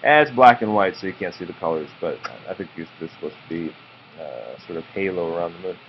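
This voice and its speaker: A man reading a children's picture book aloud to his child in a slow, drawn-out storytelling way, with informal asides. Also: low voice, expressive. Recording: muffled home recording with background noise.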